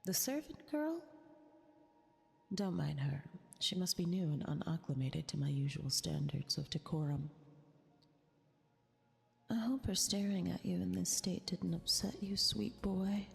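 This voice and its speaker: A woman's voice: on the low side, creaky, exaggerated voice. A woman voicing a vain, possessive eldritch empress in an ASMR roleplay, with formal, condescending, intimate delivery. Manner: imperious, condescending, formal diction, drawn-out delivery. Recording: long reverb, music behind. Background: music.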